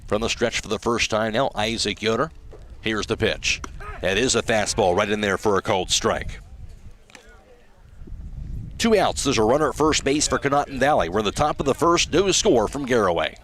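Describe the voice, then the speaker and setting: A man's voice: lowish voice, expressive, normal speed, a bit bright. A man calling a live baseball game play-by-play as a radio announcer. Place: outdoor ballpark radio broadcast.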